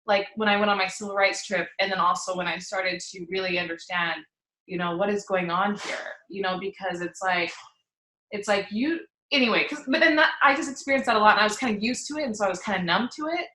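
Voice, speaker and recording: Interview guest: American woman, bright forward voice, roomy echo, reflective and informal, halting as she looks for the right words. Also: medium pitch. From Utah, United States. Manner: halting, searching for words, frequent "like". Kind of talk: casual, reflective personal conversation. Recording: echoey, roomy sound.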